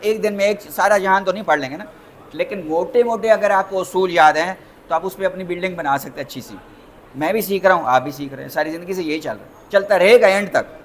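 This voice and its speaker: Male lecturer, Pakistani accent, high-pitched, didactic and repetitive with rhetorical questions, faint background noise. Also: quick pace. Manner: didactic, repeats points and asks rhetorical questions. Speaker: lawyer giving a lecture. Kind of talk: interactive law lecture mixing in English terms.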